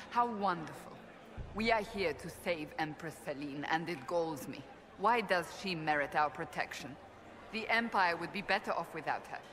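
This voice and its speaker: A woman's voice: on the low side, natural voice. A woman playing a stern, scornful warrior in a video game, blunt and sarcastic in her delivery. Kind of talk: video game dialogue acting. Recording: long hall reverb, music behind.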